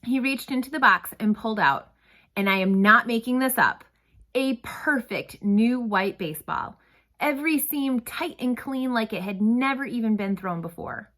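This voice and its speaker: A woman narrating a storybook read-aloud with expressive, dramatic phrasing. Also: on the high side, normal speed.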